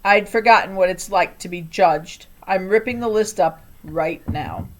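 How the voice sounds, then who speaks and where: A woman's voice: mid-range voice, unhurried, lightly acted. Woman voicing a male shopkeeper, shaky voice, earnest, resolute, audiobook character. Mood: moved, resolute.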